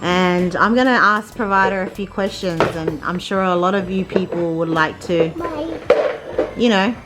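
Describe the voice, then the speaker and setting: A woman's voice: fairly low pitch, steady tone, normal speed, over loud music, rough recording. A woman hosting an interview, asking short, friendly questions. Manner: friendly questioning, brief prompts. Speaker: interview host. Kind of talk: casual interview.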